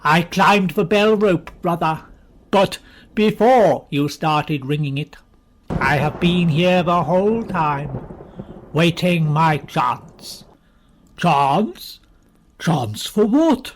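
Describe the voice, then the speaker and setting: Loud, declaiming monk. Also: medium pitch, expressive, extremely slow, long pauses, a little hiss or hum. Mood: menacing, dramatic. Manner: declamatory, melodramatic. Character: grim, vengeful monk in a melodrama.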